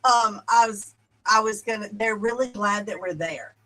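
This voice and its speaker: A woman's voice: mid-range voice, normal speed, bright, forceful tone, low-quality recording. A woman guest on a podcast, telling stories in casual interview chat over a video call. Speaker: podcast guest, church leader. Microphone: remote video call.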